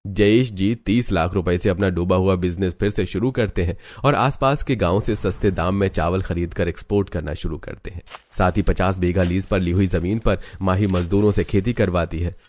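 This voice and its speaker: A man's voice: low-pitched, normal speed, hardly any pauses, darkish tone. A man narrating a scripted cartoon story.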